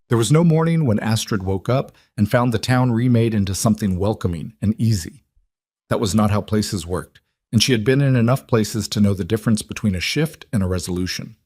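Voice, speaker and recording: Low-voiced man narrating a western. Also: a little mellow, no echo, studio quality. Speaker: audiobook-style story narrator. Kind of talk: scripted dramatic narration of a western tale.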